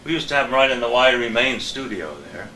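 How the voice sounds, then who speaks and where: A man's voice: mid-range voice, animated, quick pace, sharp tone, echoey room, a little hiss or hum. An older man, a veteran broadcaster, reminiscing casually in short remarks. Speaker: radio and TV veteran guest.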